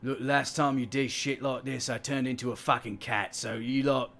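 A man voicing a gruff, exasperated ship's volunteer in a tabletop game. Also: medium pitch, steady tone, normal speed, a bit bright, close to an everyday voice. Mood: wary, irritated.

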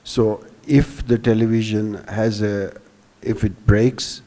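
Older man with a low, mellow voice. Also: steady tone, takes it slowly, long reverb tail, poor audio. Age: 60s. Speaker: panelist, business executive. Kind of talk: explanatory panel talk. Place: hall stage before a seated audience. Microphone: handheld microphone held at the chest.

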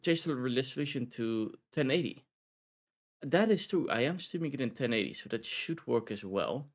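Lively Dutch-accented male streamer. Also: medium pitch, moderate pace. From Netherlands. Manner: chatty, rambling, laughs often. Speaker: VTuber game streamer. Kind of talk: casual live-stream game commentary.

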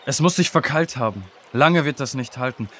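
A man's voice: medium pitch, animated, moderate pace, close to an everyday voice. An actor playing a level-headed, decisive young male adventurer in a children's audio drama, with a practical, urgent manner. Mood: urgent, composed. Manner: practical, urgent, clearly phrased.

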